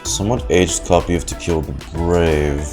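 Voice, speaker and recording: Low-voiced man reacting to a game. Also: moderate pace, a little mellow, soft background music. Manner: blunt, swearing. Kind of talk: casual reaction commentary.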